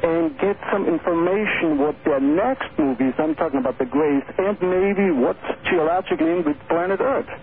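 A man's voice: on the high side, normal speed, few pauses. A man calling in to a radio show, making blunt, insistent comments. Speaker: caller. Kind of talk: phone-in comments. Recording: tinny phone line.

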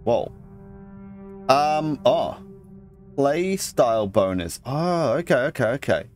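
A male let's play host gives casual gameplay commentary. He thinks aloud and reads out game text in a medium-pitched voice. Light game music sits behind him. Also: expressive, takes it slowly, darkish tone.

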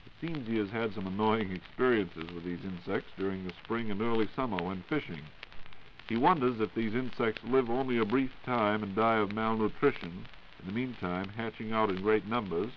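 An American man hosting a scripted 1940s radio question-and-answer show in a formal, measured announcer's delivery. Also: on the low side. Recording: dull, noisy 1940s radio recording.

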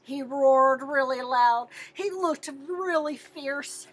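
A middle-aged American woman reads a picture book aloud to children in an expressive, emphatic way, asking questions and answering them. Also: high voice, slow. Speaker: teacher-librarian reading to children. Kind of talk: animated picture-book read-aloud with questions to young listeners.

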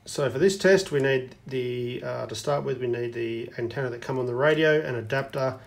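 A man's voice: mid-range voice, moderate pace, gravelly. A man giving a casual hands-on demonstration as a hobbyist reviewer. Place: indoors at a wooden tabletop.

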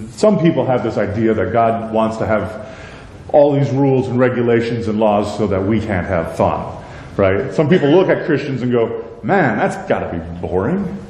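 A middle-aged man with a deep voice preaching a sermon in a church, conversational and self-deprecating, with many rhetorical questions. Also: a little mellow. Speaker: pastor. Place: church sanctuary with a stage. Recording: echoey church hall, light rumble.

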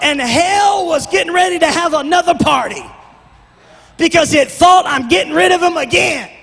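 High-pitched male preacher, shouting and half-singing. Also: normal speed, gravelly. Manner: quieter prayerful tone. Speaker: pastor. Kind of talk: Pentecostal sermon and prayer. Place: large church stage. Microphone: handheld mic. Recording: long church reverb, music behind.

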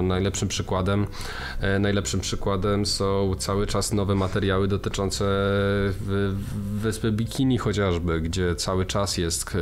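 Man, low voice, halting film talk with repeated words, faint background noise. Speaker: co-host of a film discussion show. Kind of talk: casual film discussion.

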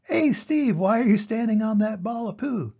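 A man voicing curious animals in a storybook, asking questions in a high, squeaky put-on voice. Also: expressive, moderate pace, creaky, darkish tone, slightly rough, highs cut off, exaggerated voice.

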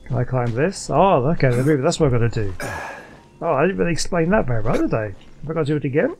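A man giving casual gameplay commentary, thinking out loud and questioning himself as he goes. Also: a little high, sing-song, fast talker, gravelly, slight background noise. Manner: muses aloud, questioning himself. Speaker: gaming video host. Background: faint game music.

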